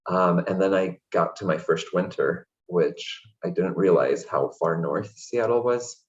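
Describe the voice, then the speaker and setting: Low-voiced man on a dull video call. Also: measured pace. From Hungary. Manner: relaxed, chatty, many fillers. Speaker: interview guest, language access programs director. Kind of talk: casual interview conversation.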